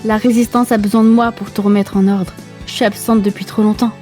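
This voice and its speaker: A woman's voice: medium pitch, level tone, brisk, mellow, soft background music, lightly acted. A woman voicing a determined young female hedgehog commander in a French comic dub, earnest, bossy and emphatic. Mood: earnest, pleading.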